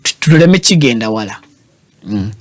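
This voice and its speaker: A Ugandan man hosting a show, giving animated and teasing political commentary that switches languages mid-sentence. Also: mid-range voice, moderate pace, dry recording.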